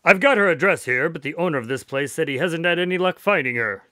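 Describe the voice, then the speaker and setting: A loyal doctor and detective's sidekick in a radio drama, acted by a man. His male voice is fairly high and moves around a lot, proper and practical with a touch of exasperation. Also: lively intonation, fast talker, pushed and bright, dry recording, studio quality. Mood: curious, exasperated.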